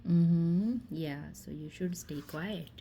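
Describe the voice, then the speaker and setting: A woman's voice: fairly low pitch, takes it slowly, dark tone, breathy edge. A woman coaching a child's reading with brief, casual prompts and encouragement. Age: adult.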